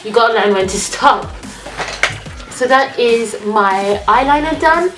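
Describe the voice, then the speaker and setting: A woman's voice: fairly high pitch, lively intonation, unhurried. Chatty woman with a nasal cold voice. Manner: chatty, talks while applying makeup. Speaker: beauty vlogger. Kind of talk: casual makeup tutorial. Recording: echoey room with music behind.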